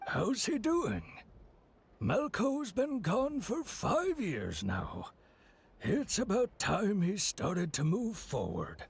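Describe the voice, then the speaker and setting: A chatty, obliging video game trader with a high, gravelly voice. His delivery is folksy and very animated, with a strongly husky edge. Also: takes it slowly, heavily acted. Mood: reflective. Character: chatty middle-aged male market merchant, folksy and obliging.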